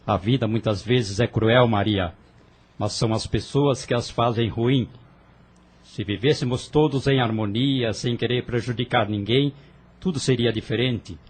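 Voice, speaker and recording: A man playing a husky, firm young brother. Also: medium pitch, unhurried. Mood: earnest. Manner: earnest, firm, reflective. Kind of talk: audio drama acting.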